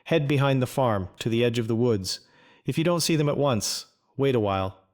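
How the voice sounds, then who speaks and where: A man voicing a gruff, weary old farmer with a terse, blunt, dry manner in video game quest dialogue. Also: mid-range voice, lively intonation, normal speed, close to an everyday voice. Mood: terse, instructing.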